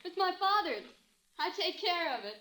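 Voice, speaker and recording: An actress playing a friendly, curious young small-town woman in an old-time radio drama, gentle and inquisitive. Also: very high-pitched, big pitch swings, moderate pace, full character voice, rough recording. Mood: friendly concern.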